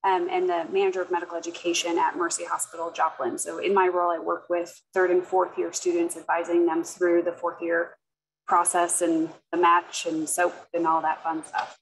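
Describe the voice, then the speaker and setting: A middle-aged woman with a lowish, level voice introduces herself over a video call. She speaks in a steady, businesslike way. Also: normal speed, slight background noise, low-quality recording. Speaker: residency placement coordinator.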